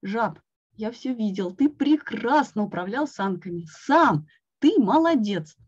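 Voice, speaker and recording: A woman voicing an excitable, cheerful male frog in a storybook reading, coaxing his friend and exclaiming often. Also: mid-range voice, measured pace, breathy edge. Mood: praising. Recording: dull lo-fi recording.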